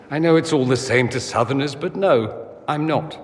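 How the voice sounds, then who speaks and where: An actor playing a witty aristocratic mage in a fantasy game, theatrical, sardonic and very sure of himself. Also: male voice, mid-range voice, unhurried, a little hiss or hum, natural voice. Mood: suave, self-assured. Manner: theatrical, sardonic, self-assured. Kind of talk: video game dramatic acting. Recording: long hall reverb.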